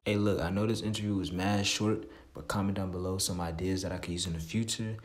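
A man's voice: low voice, fairly even intonation, fast, distant mic, very noisy. Hyped young man, vlog intro. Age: young adult. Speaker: host, street interviewer. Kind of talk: casual vlog and street interviews. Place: bedroom for intro, indoor shopping mall for interviews. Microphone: handheld mic in mall.

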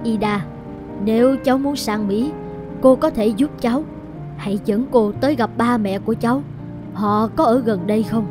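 A woman voicing a wealthy, kindly middle-aged lady in an animated moral tale, speaking politely and deliberately. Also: fairly high pitch, music in the background, lightly acted. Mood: reassuring.

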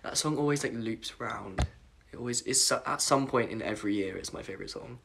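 A young man with a croaky voice chatting casually on a phone livestream from his bedroom at night, reading comments aloud and apologizing often. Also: mid-range voice, fairly quick, noisy background. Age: young adult. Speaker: livestream host. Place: dim bedroom at night, indoors. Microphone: phone camera at arm's length.

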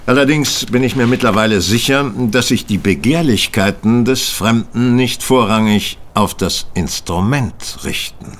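An actor playing a brisk, composed gentleman detective with an authoritative, dry, precise manner in a German audio drama. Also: medium pitch, close to an everyday voice. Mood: composed, inquiring.

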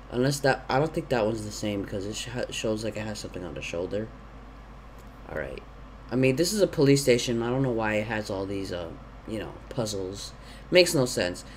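Young guy w/ sore throat n sniffles, rambly let's-play chat into a headset, bit of hiss. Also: fairly low pitch. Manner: rambling, thinking aloud with many fillers. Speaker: gaming YouTuber. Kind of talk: casual let's-play commentary. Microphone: gaming headset.

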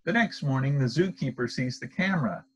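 A middle-aged man reading a children's picture book aloud in a deliberate, expressive storytelling manner, recorded outdoors. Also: medium pitch. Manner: deliberate, expressive delivery for young listeners. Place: outdoors, in an open wooded park.

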